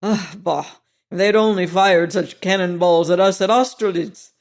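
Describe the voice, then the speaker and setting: A hoarse old French soldier, loud and fawning, spurring on a gambler with exclamations, as a narrator puts him on. His voice sits high and carries brightly in a storybook reading. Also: normal speed. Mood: jovial, eager. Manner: effusive, flattering, exclamatory.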